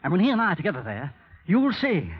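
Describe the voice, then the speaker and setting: A scornful blackmailer from 1930s radio drama, on a dull, lo-fi recording. His husky voice swings widely in pitch as he taunts and threatens with theatrical deliberation. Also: wildly swooping pitch, fast talker, heavily acted. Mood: fierce, ambitious. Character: bitter, menacing male blackmailer, coldly calculating and scornful.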